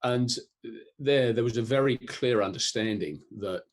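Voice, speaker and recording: A European man answering interview questions in a thoughtful, explanatory way, pausing often with ums and restarts. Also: medium pitch. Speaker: guest, disaster resilience expert.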